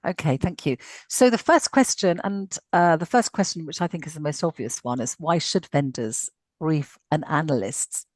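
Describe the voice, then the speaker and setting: A woman hosting a webinar panel, chatty and warm in tone with repeated words and fillers. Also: low-pitched, expressive, moderate pace, no room sound. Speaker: webinar host. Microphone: video call.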